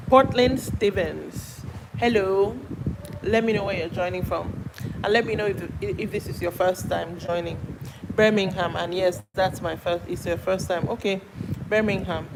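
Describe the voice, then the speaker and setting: A woman's voice: mid-range voice, vocal fry. A Nigerian woman hosting a casual live stream, greeting viewers by name and answering their comments aloud in a chatty way. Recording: noisy background with passing traffic.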